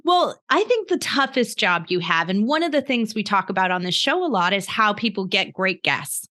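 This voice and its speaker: A woman's voice: medium pitch, animated, moderate pace, sharp tone. A woman hosting a podcast interview, upbeat and encouraging, laughing easily as she asks questions. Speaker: podcast host. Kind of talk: casual interview chat.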